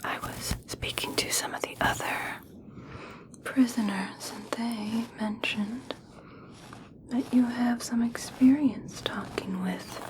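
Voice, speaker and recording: A woman performs a young elf princess in an ASMR fantasy roleplay, caring and quietly curious. She speaks in a soft whisper at a very unhurried pace, with a lightly high, feminine tone. Her manner is soothing and tender. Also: steady tone, low-quality recording. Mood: gently curious.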